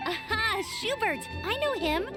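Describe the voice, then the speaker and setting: Upbeat young scout, high animated voice. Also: female voice, a little slow, loud music behind the voice. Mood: friendly. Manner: perky, encouraging, eager to help. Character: upbeat, friendly, energetic young female scout knight. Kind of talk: video game character acting.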